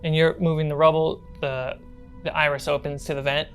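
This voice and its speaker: A woman's voice: sits low, level tone, fairly quick, soft background music. A woman game master narrating and refereeing a tabletop role-playing game.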